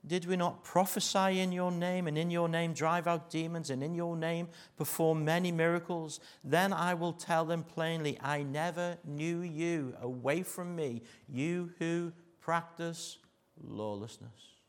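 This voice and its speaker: A middle-aged man with a Liverpool accent preaching a sermon, mixing jokey asides with earnest warnings that sink to a hush. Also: a little high, fairly even intonation, measured pace, hardly any pauses, smooth. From Liverpool, England.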